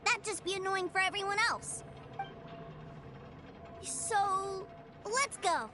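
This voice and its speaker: Little boy, very high, innocent, excited, game music behind. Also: slow pace. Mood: earnest. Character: sweet, eager young boy, adores his brother. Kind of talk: video game voice acting.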